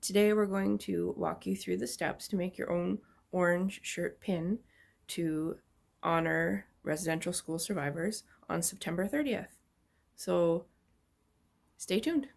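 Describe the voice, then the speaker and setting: A woman from Canada giving a relaxed, step-by-step craft tutorial, explaining as she goes with small fillers. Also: on the low side, measured pace, gravelly. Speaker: beading instructor.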